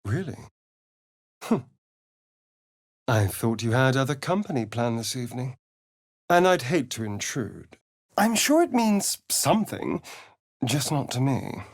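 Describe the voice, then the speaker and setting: An actor voicing a sardonic, foppish, flirtatious vampire spawn in a video game, with an arch, theatrical, languid drawl. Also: male voice, medium pitch, sing-song, measured pace, long pauses, no room sound, clean studio sound. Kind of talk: video game character lines.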